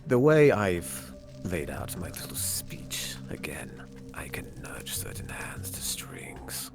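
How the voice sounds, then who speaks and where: Man voicing a very deep, gravelly god of death. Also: wildly swooping pitch, whispered, over music, exaggerated voice. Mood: courteous, cryptic. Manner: slow, formal, vague speech. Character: solemn young male god of death, courteous, cryptic schemer. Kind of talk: Minecraft roleplay acting.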